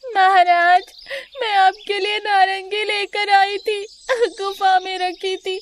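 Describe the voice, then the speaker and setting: A woman's voice: extremely high pitch, level tone, takes it slowly, voice pushed up. A woman voicing a tearful, whining young female deer pleading to her king in a children's cartoon. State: crying, whining.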